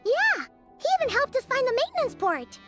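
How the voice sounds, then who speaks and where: A woman's voice: extremely high pitch, wildly swooping pitch, brisk, a bit bright, soft background music, exaggerated voice. A woman voicing a small, childlike fairy companion in a video game, chirpy, earnest and emphatic. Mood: earnest, explaining. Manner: refers to herself in third person, emphatic.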